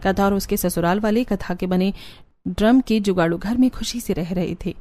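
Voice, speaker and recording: Soft-toned woman narrating a moral story. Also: mid-range voice, fairly even intonation, moderate pace.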